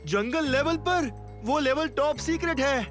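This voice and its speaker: A man voicing a vain, anxious, easily exasperated game inventor in an animated comedy dub. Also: very high voice, unhurried, loud music behind the voice. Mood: indignant, pleading.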